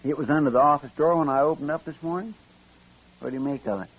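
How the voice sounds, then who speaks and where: A man playing an eager, simple, deferential frontier deputy with speech and a husky voice in a radio western. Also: a little high, fast talker. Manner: folksy, hesitant, deferential. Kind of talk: old-time radio western acting. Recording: muffled vintage radio recording.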